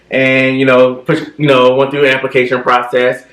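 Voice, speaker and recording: A man in his thirties telling stories in a relaxed, casual way, filling his talk with "you know". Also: mid-range voice, roomy sound, slight background noise, poor audio. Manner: relaxed storytelling with many fillers. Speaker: co-host. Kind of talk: casual chat.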